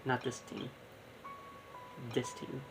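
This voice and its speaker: A man's voice: takes it slowly, poor audio. A young man giving casual live gameplay commentary, rambling and thinking aloud. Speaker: gaming streamer. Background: game music.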